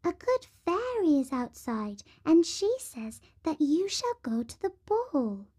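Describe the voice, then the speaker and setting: A tiny, kindly mouse from a storybook. The voice is very high, small and squeaky, and it soothes and reassures gently. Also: female voice, very animated, takes it slowly, exaggerated voice. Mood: reassuring.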